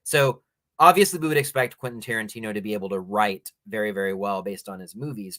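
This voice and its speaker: Measured, bookish male commentator. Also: medium pitch, fairly bright tone, no room sound. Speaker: host of a culture commentary show. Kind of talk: opinionated film commentary with passages read aloud.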